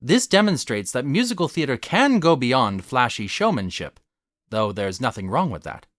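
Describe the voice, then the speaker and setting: A man delivers a scripted essay with lively, varied intonation. His voice sits at a middling pitch and is recorded close and dry. The delivery is formal and articulate, laying out each argument in an expository way. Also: normal speed, dry recording.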